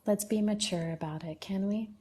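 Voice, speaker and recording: A woman's voice: medium pitch, normal speed, mellow. A woman hosting a casual livestream, reading out viewer comments and answering them one by one. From Lebanon. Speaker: livestream host.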